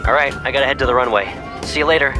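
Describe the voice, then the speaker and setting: A man's voice: mid-range voice, rapid-fire, lightly acted. An actor voicing a cocky, playful young male pilot who teases his childhood friend, in video game style. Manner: playful, teasing, boastful. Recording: background music under the voice. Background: music.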